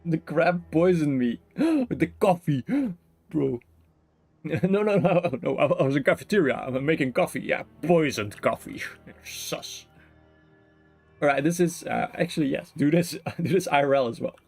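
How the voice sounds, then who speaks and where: A man's voice: on the high side, expressive, measured pace, vocal fry, darkish tone, slightly rough, dry recording. A man giving casual, playful live commentary as he streams a game, joking as he goes. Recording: faint game music behind.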